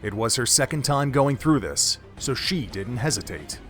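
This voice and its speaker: A man's voice: medium pitch, big pitch swings, normal speed, a bit bright. A man reading scripted narration for an audio drama in a dramatic storytelling manner. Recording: music under the narration.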